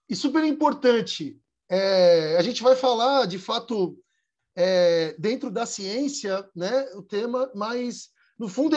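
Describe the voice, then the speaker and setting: A man hosting a podcast in a joking, rambling, animated way. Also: very high-pitched, lo-fi sound. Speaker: podcast host.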